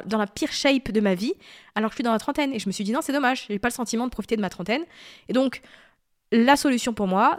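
A woman in her thirties hosting a solo podcast, talking in a chatty, confiding way that drifts off topic. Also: a little high. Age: 30s. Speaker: podcast host. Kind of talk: casual solo podcast talk.

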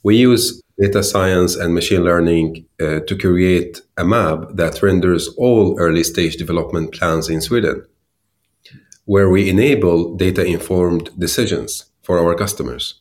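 A man from Syria, a startup founder in his thirties, answering interview questions with many pauses and restarts. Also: low voice, a little mellow. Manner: hesitant, many fillers and restarts.

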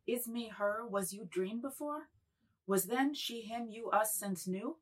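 Woman acting a husky, domineering brothel madam. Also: on the high side, measured pace. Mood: commanding seduction. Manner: imperious, commanding. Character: massive, heavy, domineering middle-aged brothel madam. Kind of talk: dramatised literary reading.

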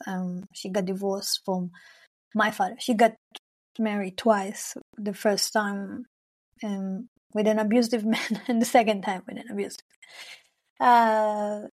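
Young woman singer, not an english speaker, rambly and laughy, lots of likes. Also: mid-range voice. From Israel-Palestine. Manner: warm, searching for words, frequent "like" and "you know", laughs often. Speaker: singer, guest. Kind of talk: casual conversational interview.

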